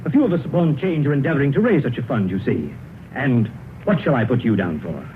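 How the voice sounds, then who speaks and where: Charity gentleman for vintage radio drama , played by a man: formal, courteous, quick, expressive, earnest. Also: mid-range voice, natural voice. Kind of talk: 1930s radio acting. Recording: scratchy, tinny 1930s radio recording.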